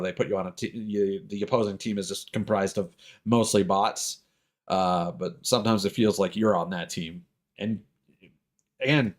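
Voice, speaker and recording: A man talking off the cuff like a game streamer, casually rambling and griping with exasperated, sweary animation. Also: on the low side.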